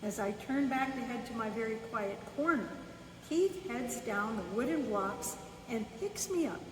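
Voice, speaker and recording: A woman reads a children's story at a slow pace, in short, separated phrases. Her voice is fairly high and comes from a distance in a reverberant room. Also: animated. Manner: unhurried, with pauses between short phrases. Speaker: volunteer storyteller reading a children's book. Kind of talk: bedtime story read aloud, first-person narration. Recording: echoey reverberant room, distant mic, faint noise.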